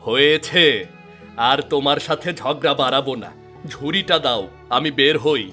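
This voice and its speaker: A man's voice: medium pitch, a little slow, pushed and bright, soft background music. A man voicing a downtrodden, earnest village cobbler in a fairy-tale cartoon, plaintive and resigned. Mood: defensive, weary.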